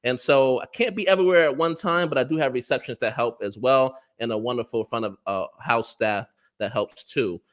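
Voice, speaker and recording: A man's voice: medium pitch, a little fast, few pauses. A man with an American accent from New Jersey, hosting a parent panel on a video call, friendly, with frequent laughs and fillers. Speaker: parent relations executive and panel host.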